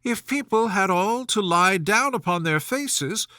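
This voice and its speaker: A man's voice: high voice, expressive, unhurried, sharp tone, no room sound, clean studio sound, pitched above the performer's own voice, heavily acted. A man voicing a polite young storybook girl who is earnest, a little timid and yet plucky. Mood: musing.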